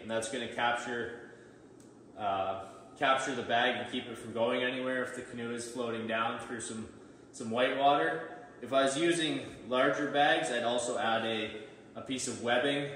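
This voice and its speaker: A very reverberant, distant recording of a man with a medium-pitched voice. He explains a practical job step by step in an easygoing, casual way, like an informal workshop tutorial. Faint noise sits behind him. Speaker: instructor demonstrating canoe outfitting. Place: large bare indoor workshop with plastic-sheeted walls. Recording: very echoey room, distant mic, faint noise.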